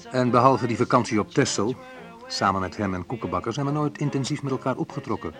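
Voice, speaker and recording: An actor playing a reflective man in a naturalistic radio drama, talking in a conversational, hesitant, wry way with a husky voice. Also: medium pitch, quick pace, a bit bright, soft background music, lightly acted. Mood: wry, nostalgic. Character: Menno, reflective man recalling a school friend. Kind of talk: naturalistic radio drama monologue and phone talk.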